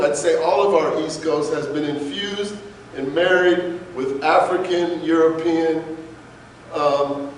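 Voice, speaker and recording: A man's voice: on the high side, a little hiss or hum. A man giving an impassioned, emphatic lecture to an audience, with frequent rhetorical questions. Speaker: guest speaker. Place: large lecture room. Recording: echoey hall recorded from far off.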